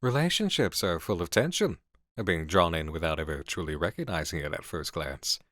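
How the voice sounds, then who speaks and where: A man's voice: low voice, lively intonation, normal speed, fairly bright tone, no echo, clean studio sound. A man playing an intense, assured horror game developer who muses intimately and philosophically in an ASMR roleplay. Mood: musing, seductive.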